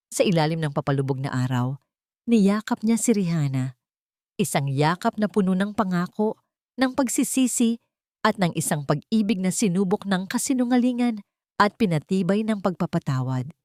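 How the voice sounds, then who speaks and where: A woman's voice: mid-range voice, a little mellow, no echo, clean studio sound. A woman narrating a scripted romantic story in a sentimental, dramatic way.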